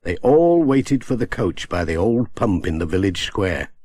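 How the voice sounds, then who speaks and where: A man with a British accent narrating a children's story in a measured, expressive storytelling manner. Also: low voice, dry recording. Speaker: audiobook narrator.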